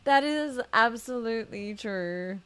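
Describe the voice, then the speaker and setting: A woman's voice: a little high, animated, measured pace, fairly bright tone, clear, resonant tone, dry recording. A woman livestreaming a game on a close mic, reacting casually with gushing, affectionate exclamations as she chats to viewers. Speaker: game streamer. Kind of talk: casual live playthrough reactions. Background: faint music.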